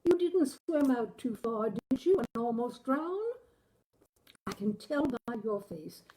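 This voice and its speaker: A woman voicing a concerned, watchful wife who questions her husband in emphatic, drawn-out phrases in a storybook reading. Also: a little high, expressive, dark tone, voice pushed up, rough recording. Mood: anxious scolding.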